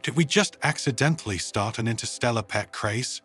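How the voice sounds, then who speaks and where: A man's voice: mid-range voice, normal speed, sharp tone, slightly rough, faint music behind. A man voicing a wry, practical woman who leads a space team, in a conversational way, for an audio story. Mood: disbelieving.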